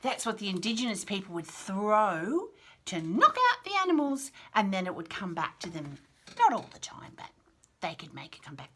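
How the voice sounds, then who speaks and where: An Australian woman reads picture books to very young children. Her voice is bright and swings widely in pitch, with a slow, sing-song delivery. She keeps turning to the child with questions and chatty asides. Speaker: children's picture book read-aloud host.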